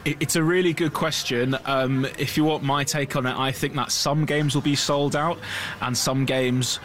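A man's voice: mid-range voice, steady tone, normal speed, a bit bright. A man speaking as a business reporter in a live news discussion, conversational and often checking in with tag questions.

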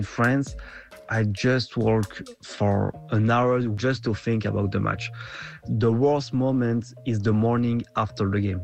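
A man's voice: fairly low pitch, gravelly. A man from Belgium, not a native English speaker, giving a personal account as a fan. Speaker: football fan contributor.

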